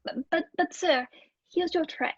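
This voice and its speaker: A high-voiced, fretful landlady acted by a woman tells a dark tale in radio-play style. Her delivery is hesitant and breathless, and the recording is dry and somewhat dull. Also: measured pace, no room sound. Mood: anxious, hushed suspense. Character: anxious, fretful landlady narrating a dark mystery. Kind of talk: old radio play acting.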